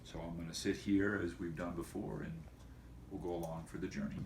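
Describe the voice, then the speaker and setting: A man speaking as a teacher and host of a homemade video for students, in a folksy, wordy, deliberate way. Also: low voice, flat delivery, gravelly, darkish tone, reverberant, a little hiss or hum. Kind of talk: casual educational vlog for students, with read-aloud.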